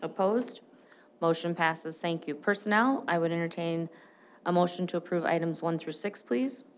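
A woman chairing a school board meeting, brisk and procedural, on a desk microphone in a boardroom. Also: lowish voice, a little hiss or hum.

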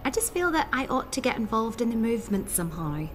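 A woman's voice: a little high, big pitch swings, fast talker, a little hiss or hum. An actress playing an earnest, insecure late-blooming woman in a radio soap, with a wry way of talking. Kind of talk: radio soap opera acting.

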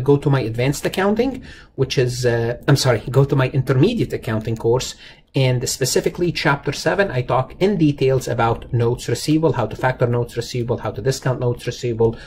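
A man's voice: medium pitch, darkish tone. A man giving an informal accounting lecture, explaining step by step with emphatic repetition and rhetorical questions aimed straight at the learner. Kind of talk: explanatory lecture over slides, informal and conversational. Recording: noticeable background noise.

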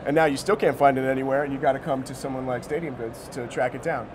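A man's voice: mid-range voice, fairly even intonation, fast talker. An American man in his thirties answering interview questions casually, in informal, slangy speech. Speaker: company co-founder being interviewed. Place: sneaker shop showroom, indoors. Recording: clear background noise.

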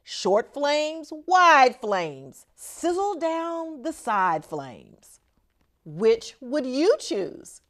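Slow, very animated woman reading aloud. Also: high voice. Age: middle-aged. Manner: deliberate, emphatic, theatrical. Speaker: school superintendent reading aloud to children. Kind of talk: expressive children's picture-book read-aloud.

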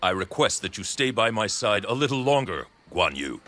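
An actor playing a shrewd, proud middle-aged warlord in a video game, speaking in a suave, deliberate, formal way. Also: male voice, on the low side, very animated, a bit bright, a little hiss or hum. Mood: regretful. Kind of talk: video game cutscene acting.

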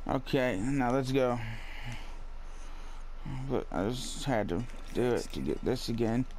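Casting: male let's-player, unhurried, sweary, muttering casual commentary over light background noise. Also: mid-range voice, animated, a little mellow. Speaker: gamer recording a playthrough.

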